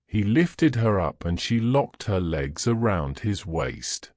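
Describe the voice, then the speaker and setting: A man's voice: lowish voice, sing-song, takes it slowly, darkish tone, no echo, studio quality. A man narrating a scripted fantasy story as its storyteller.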